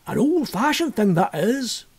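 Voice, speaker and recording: A man voicing a plain-spoken village innkeeper in a storybook, with folksy country speech and a husky voice. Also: extremely high pitch, big pitch swings, unhurried, a little mellow, pitched above the performer's own voice, exaggerated voice. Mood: matter-of-fact. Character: genial rustic male innkeeper, plain-spoken village local.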